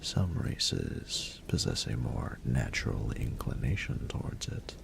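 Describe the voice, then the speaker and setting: Rumbling, gravelly dragon lord. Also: male voice, sing-song, noisy background, full character voice. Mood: patient, instructive. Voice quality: very deep, gravelly, breathy murmur. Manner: formal, unhurried, eloquent, courtly. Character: regal male dragon ruler, doting, patient, courtly mentor. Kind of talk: romantic audio roleplay addressed to the listener.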